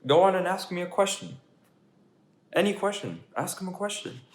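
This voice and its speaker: A man's voice: high voice, animated, normal speed, voice pushed up. A man voicing an anxious, dramatic, know-it-all pigeon from a children's storybook, fretful and full of questions. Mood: indignant, boastful. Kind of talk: children's storybook character reading. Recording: echoey room, faint background noise.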